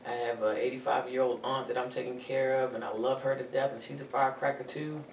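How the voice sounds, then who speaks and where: A woman with a deep voice, talking casually as an interview guest and rambling through lists with fillers. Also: fairly even intonation, fast, darkish tone, slight background noise. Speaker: interview guest, actress and model. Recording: echoey room, mic at a distance.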